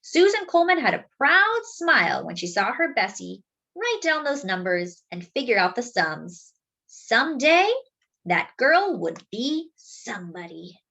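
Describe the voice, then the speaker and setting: High-pitched, lively woman reading a storybook. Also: measured pace, gravelly. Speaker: storyteller reading a children's book aloud. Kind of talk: expressive read-aloud narration for children.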